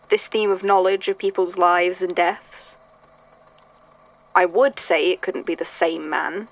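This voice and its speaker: A woman's voice: fairly low pitch, dull audio, natural voice. A woman playing an archivist in a fan audio drama, reading old supernatural statements and thinking aloud.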